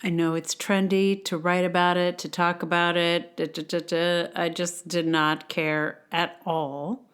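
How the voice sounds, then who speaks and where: A woman's voice: fairly low pitch, level tone, unhurried, fairly bright tone, clean tone. A woman hosting a podcast, speaking in a conversational, wry way with emphatic stresses. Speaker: podcast host and blogger. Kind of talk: casual intro chat and read-aloud blog.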